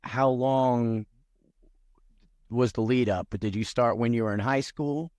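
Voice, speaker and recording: A man's voice: medium pitch, steady tone, measured pace, a little mellow, no echo. A man co-hosting a podcast, asking questions in a hesitant, word-searching way. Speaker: podcast co-host. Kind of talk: casual interview questions.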